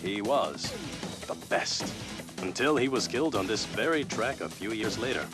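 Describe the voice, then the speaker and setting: An actor playing a brash race broadcaster in a video game, with a showy, punchy announcer delivery. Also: male voice, lowish voice, lively intonation, moderate pace, music in the background, lightly acted. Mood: showy, guarded. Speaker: race commentator and interviewer. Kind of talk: video game cutscene acting, announcer patter.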